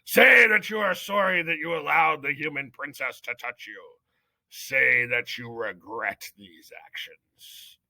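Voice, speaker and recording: Man doing a booming, pompous head mouse. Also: vocal fry, sharp tone, dry recording, exaggerated voice, rough recording. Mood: stern. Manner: booming, stern, slow and solemn. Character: pompous old male head mouse judge. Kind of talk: audiobook character reading.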